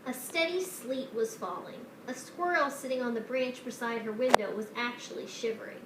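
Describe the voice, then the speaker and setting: A woman's voice: fairly high pitch, moderate pace. A woman reading a children's story aloud as narrator. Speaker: storybook reader. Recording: roomy echo with faint noise.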